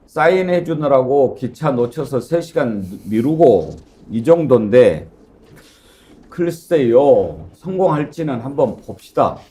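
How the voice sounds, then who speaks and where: A man anchoring a political talk show, giving wry, measured commentary with pauses. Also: mid-range voice, mellow. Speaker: news anchor.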